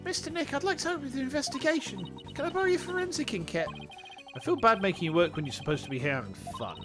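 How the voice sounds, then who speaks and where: A man voicing a sweet, earnest young girl in a video game, speaking softly and politely. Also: very high-pitched, sing-song, fast talker, music in the background, voice pushed up, full character voice.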